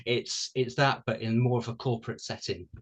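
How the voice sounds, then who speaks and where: Young Welsh-born man, relaxed interview guest, mid pitch, loose and hesitant. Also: moderate pace, husky. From Wales. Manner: relaxed, with frequent ums and restarts. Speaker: guest author. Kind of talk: casual interview chat.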